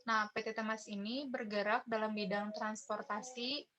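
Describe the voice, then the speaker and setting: A woman's voice: fairly high pitch, fairly even intonation, moderate pace. A young woman giving a class presentation as a student. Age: young adult. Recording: dull lo-fi audio.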